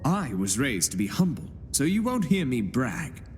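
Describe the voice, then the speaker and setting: An actor playing a pious, emotional middle-aged knight in a game, speaking solemnly. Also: male voice, mid-range voice, sing-song, normal speed, a little mellow, big-room sound, soft background music, close to an everyday voice. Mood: grave. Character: pious, tearful male knight, middle-aged, humble yet pleading. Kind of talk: game voice acting.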